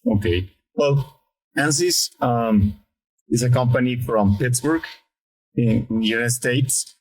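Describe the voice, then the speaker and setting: A man's voice: medium pitch, slow, low-quality recording. A middle-aged man from Mexico City speaking English with a Mexican Spanish accent, answering interview questions hesitantly and in a friendly way. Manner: hesitant, many fillers and restarts, friendly. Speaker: guest, sales lead.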